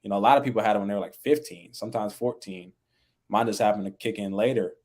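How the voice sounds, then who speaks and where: A young man talking casually as a podcast guest, relaxed and full of fillers, raised in the American Midwest. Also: on the low side, fast talker. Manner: relaxed, laid-back, many fillers like "like" and "you know". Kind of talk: casual interview chat.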